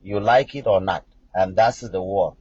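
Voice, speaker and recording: A man's voice: sits low, unhurried, darkish tone, slightly rough, no echo. A middle-aged man from South Sudan with a South Sudanese accent, whose first language is Nuer, talks insistently and repetitively as he answers viewer comments on a livestream. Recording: dull lo-fi sound.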